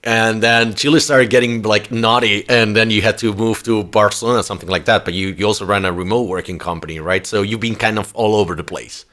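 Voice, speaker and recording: A man's voice: lowish voice, fairly even intonation, fairly quick, few pauses, a bit bright. A man hosting a podcast interview, chatty and blunt, with frequent fillers and restarts. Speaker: podcast host.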